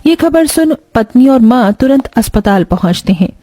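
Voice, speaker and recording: A woman's voice: fairly high pitch, expressive, moderate pace, mellow, no room sound. A woman reading a scripted moral story as its narrator.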